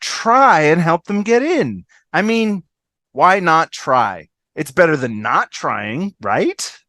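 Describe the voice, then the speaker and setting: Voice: medium pitch, big pitch swings, takes it slowly, fairly bright tone, no echo, studio quality. An amateur actor on a video call, reading the part of a blunt, irreverent woman who rallies doomed friends. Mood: rallying. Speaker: amateur table-read actor. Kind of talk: fan table read of TV comedy script.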